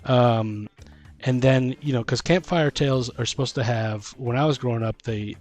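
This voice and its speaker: Male podcast host, mid-pitched, casual tabletop gaming chat, rambling delivery, light music bed. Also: normal speed, darkish tone. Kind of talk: casual discussion of tabletop role-playing games.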